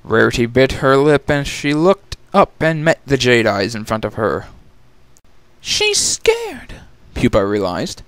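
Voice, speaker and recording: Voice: mid-range voice, moderate pace, few pauses, clean tone, no echo. A narrator giving a dramatic reading of a story, telling it in scripted prose.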